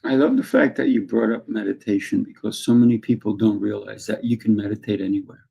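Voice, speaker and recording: A man who teaches martial arts, speaking in a calm, soft guiding way as a podcast guest. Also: on the low side, fairly quick, creaky, husky. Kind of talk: conversational interview talk.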